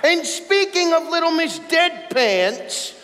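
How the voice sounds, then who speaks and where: An actor playing a flippant, scatterbrained wizard headmaster with a halting, stammering delivery in a comedic staged reading. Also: male voice, very high voice, lively intonation, fairly bright tone, exaggerated voice. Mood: probing, chiding. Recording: echoey hall, audible room noise.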